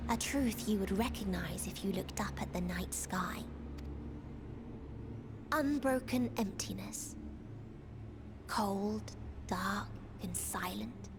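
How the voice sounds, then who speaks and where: Game role, for a woman: young female hive-mind creature, fatalistic and grave, very unhurried delivery, loud score behind. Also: medium pitch, natural voice. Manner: solemn, measured, fatalistic speech. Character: sorrowful, nihilistic young female hive-mind being from the stars. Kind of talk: video game cutscene acting. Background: music.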